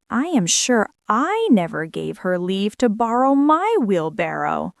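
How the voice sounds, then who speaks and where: A woman narrating a children's bedtime story with measured, expressive, deliberate phrasing. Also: fairly high pitch, no room sound, clean studio sound. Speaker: storyteller reading a children's book aloud. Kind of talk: scripted storybook narration, bedtime read-aloud.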